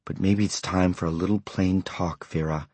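A man's voice: low voice, fairly even intonation, moderate pace, darkish tone, slightly rough, no echo. A man voicing a furious middle-aged husband with a limp, roaring and bellowing. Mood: quietly stern.

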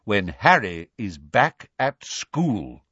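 A man voicing a calm, commanding old wizard in an audiobook, speaking in a measured, magisterial way. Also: fairly low pitch, husky, no echo, lo-fi sound, exaggerated voice.

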